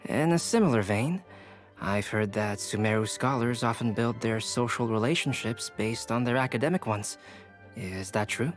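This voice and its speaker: A man voicing a calm, courteous young alchemist and teacher in a video game, polite and inquisitive. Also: mid-range voice, normal speed, lightly acted. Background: game music.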